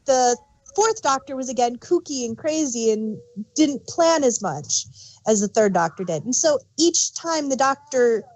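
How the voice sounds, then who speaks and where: A woman's voice: high-pitched, animated, a little slow, a little mellow, rough recording. A woman co-hosting a casual fan discussion, rambling and searching for words as she gives emphatic examples. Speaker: podcast co-host.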